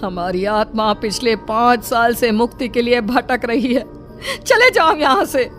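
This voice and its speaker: Horror cartoon casting: vengeful female spirit, done by a woman, higher-pitched, anguished and threatening. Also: expressive, unhurried, a bit bright, faint music behind. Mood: angry warning. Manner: menacing, anguished. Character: vengeful ghost of a wronged village woman. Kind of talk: dramatised horror cartoon story.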